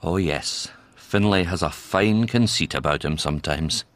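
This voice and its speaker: The narrator of a radio drama. He has a low Scottish voice and tells the story in a wry, knowing way. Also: measured pace, fairly bright tone.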